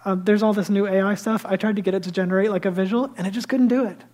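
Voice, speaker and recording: Male pastor, high light voice, lively conversational sermon, hushed asides. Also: fast. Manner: lively storytelling that drops to hushed, near-whispered asides; self-deprecating and conversational.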